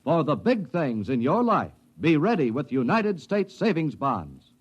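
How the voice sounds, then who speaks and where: A man's voice: a little high, sing-song, measured pace, breathy edge. A man reading a 1950s radio commercial in an emphatic, salesmanlike way. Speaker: advertising announcer. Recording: dull vintage radio recording.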